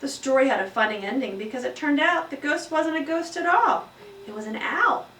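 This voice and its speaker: A bright, earnest little storybook hamster, voiced by a woman, tells of classroom life. The delivery is emphatic and expressive, heard a bit far from the mic in an echoey room with faint noise. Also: high-pitched, a little fast, pitched above the performer's own voice. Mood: excited, spooked. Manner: expressive, exclaiming, emphatic read-aloud delivery. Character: small earnest pet hamster telling his classroom story. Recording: roomy echo, distant mic, faint noise.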